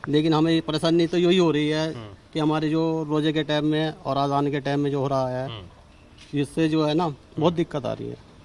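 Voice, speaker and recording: Male resident, western Uttar Pradesh accent, fairly high even voice, colloquial vox-pop delivery. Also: moderate pace. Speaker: local resident interviewed. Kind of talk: informal street interview answer.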